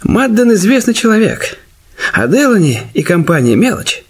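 High-voiced, shrewd, polite detective. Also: male voice, big pitch swings, moderate pace, breathy edge, full character voice. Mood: calm, probing. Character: Charlie, calm, shrewd, polite male detective. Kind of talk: radio play acting with narration.

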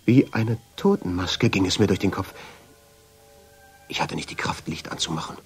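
An actor playing a haunted, uneasy man who narrates in a radio drama, in with a husky, brooding delivery. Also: deep voice, moderate pace, soft background music, full character voice. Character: haunted, uneasy man recounting his obsession with a portrait. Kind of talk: radio drama first-person narration and dialogue.

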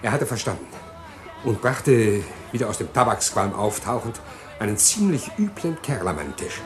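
A man's voice: mid-range voice, big pitch swings, moderate pace, over music, lightly acted. Radio drama: male actor as a terrified death-row prisoner, stammering, voice swinging wildly. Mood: hesitant narration. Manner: stammering, pleading. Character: condemned male prisoner, fearful and desperate. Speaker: condemned prisoner confessing. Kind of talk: vintage radio play acting.

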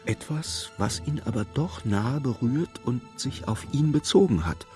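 An actor as the narrator of a literary radio play, telling the story precisely and with dramatic suspense. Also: male voice, mid-range voice, expressive, a little slow, warm tone, close to an everyday voice. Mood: suspenseful. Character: male storybook narrator, vivid and urgent observer. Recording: studio radio play with music.

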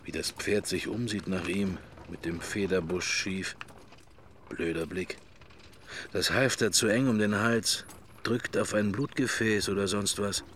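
A man's voice: lowish voice, fairly even intonation, a little slow, a bit bright, a little hiss or hum. An actor playing a pensive middle-aged man who thinks to himself in clipped, wry fragments, in a radio drama interior monologue. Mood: wry musing.

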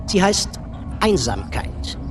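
A man's voice: high-pitched, sing-song, slow, music in the background, exaggerated voice. An actor playing a misanthropic artificial intelligence villain in male guise, condescending and sardonic, in a radio drama. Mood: philosophizing, scornful.

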